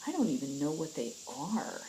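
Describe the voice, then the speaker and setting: A woman's voice: low voice, big pitch swings, normal speed, noisy background. A woman reading the part of a terse, commanding female squad leader in a space opera story, dry and plain-spoken, insects faintly behind. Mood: wry.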